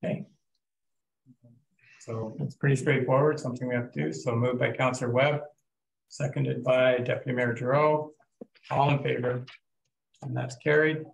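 Flat, gravelly Canadian man chairing. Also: medium pitch, normal speed, pauses often, roomy sound, a little hiss or hum, poor audio. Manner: plain, steady, moves motions along. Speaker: mayor chairing a council meeting. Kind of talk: chairing a council meeting.

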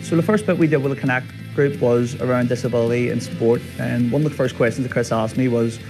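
A man's voice: mid-range voice, fairly even intonation, fast, poor audio. A young man with a Belfast accent giving a testimonial for a promotional video. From South Belfast. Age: young adult. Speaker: footballer, programme participant. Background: guitar music.

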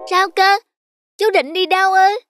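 Woman as a very high-pitched, sweet little cartoon boy. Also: fairly even intonation, a little slow. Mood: earnest. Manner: childlike, earnest, polite. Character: sweet, lonely, generous little rich boy. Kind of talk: cartoon voice acting. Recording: music behind the voice.

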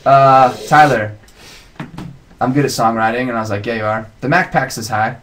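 A man's voice: medium pitch, normal speed, a bit bright, poor audio. A young man in his twenties, chatting casually and reading viewer comments aloud on a live webcam stream from a home studio. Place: home music studio, indoors. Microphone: webcam mic.

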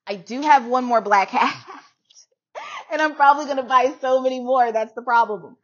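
A woman's voice: high-pitched, normal speed, fairly bright tone, highs cut off. A middle-aged American woman talking casually to camera in an informal, emphatic way, repeating phrases as she shows items. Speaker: vlogger showing a hat collection. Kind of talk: casual chatty product try-on. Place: small room indoors at home.

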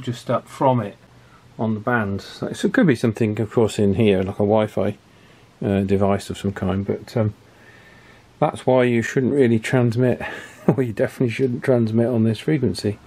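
A middle-aged man with a mid-pitched voice talks through hands-on technical work in an informal way. He speaks hesitantly, thinking aloud, in the manner of a repair technician presenting from the bench. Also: warm tone, husky. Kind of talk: informal hands-on workbench commentary. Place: small indoor workshop, at the bench.

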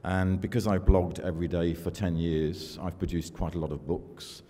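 An older man from the United Kingdom giving a conference keynote at a podium in a large hall, measured and self-deprecating, with fillers between thoughts. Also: low voice, little pitch movement, mellow. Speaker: keynote speaker. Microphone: podium microphone. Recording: long hall reverb, faint room noise.

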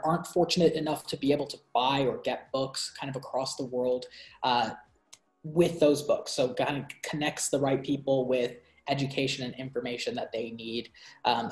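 A young man co-hosting a book review over a video call, talking casually with many fillers and restarts. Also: mid-range voice. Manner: animated hand gestures. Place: bright room at home. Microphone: video call laptop. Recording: roomy echo.

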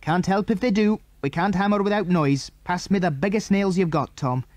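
Man playing bold, excitable boy leader, high-pitched. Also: moderate pace, dry recording, lightly acted. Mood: brisk, commanding. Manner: urgent, exclamatory, giving orders.

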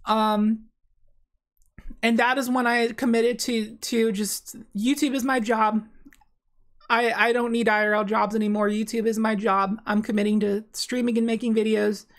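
Young woman chatting thru a card game stream, kinda high voice, lots of likes and ums, small room. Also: level tone, measured pace. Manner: rambling, many fillers and restarts. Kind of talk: casual gameplay commentary. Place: small dim room indoors.

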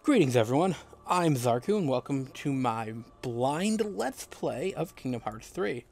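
A man's voice: mid-range voice, animated, measured pace, vocal fry, a little mellow. A man hosting a let's play, talking casually over a video game as he plays. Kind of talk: casual gameplay commentary.

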